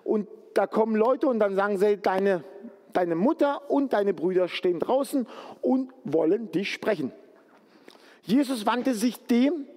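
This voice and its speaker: A man from Turkey delivers a sermon in a church hall, speaking earnestly and conversationally, sometimes pleading with his listeners. His voice sits high for a man. A long reverb from the hall trails after his words. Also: animated, measured pace, darkish tone. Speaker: preacher.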